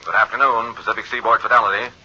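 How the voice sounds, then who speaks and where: An actor playing a brisk, polite insurance adjuster in a 1950s radio drama, formal and hurried, through a telephone filter. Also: male voice, mid-range voice, little pitch movement, background noise. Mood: polite, urgent. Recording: thin, muffled old radio phone line.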